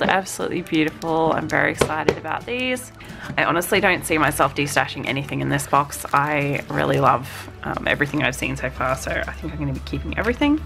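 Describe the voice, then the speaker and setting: A woman, chatting through a stationery unboxing, slightly nasal from a cold and cooing over small items. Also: on the low side. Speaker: vlogger unboxing a stationery subscription box. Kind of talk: casual unboxing chat.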